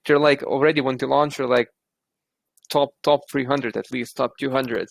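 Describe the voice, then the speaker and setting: Lithuanian-accented man, gravelly, dry close mic, casual and thoughtful. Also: medium pitch, fairly even intonation, moderate pace, no echo. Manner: thoughtful, restarts often. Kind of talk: casual chat.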